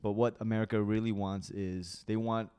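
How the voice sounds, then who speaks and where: A man in his thirties with an international school accent talks in a slightly low voice. He is a comedian from Malaysia, raised in Singapore, and chats in an animated way, laughing often and swearing freely. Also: normal speed, smooth. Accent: international school English. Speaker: comedian guest. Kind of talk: casual chat.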